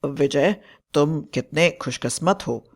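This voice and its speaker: Man acting an earnest, higher-voiced village man. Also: slow pace, vocal fry. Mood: admiring. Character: village men, friends anxious over a witch.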